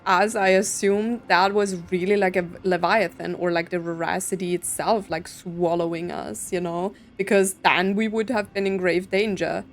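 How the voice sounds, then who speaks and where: A woman giving casual let's-play commentary, thinking aloud in a puzzled, speculative way. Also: mid-range voice. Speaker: gaming content creator playing and reacting to a story quest.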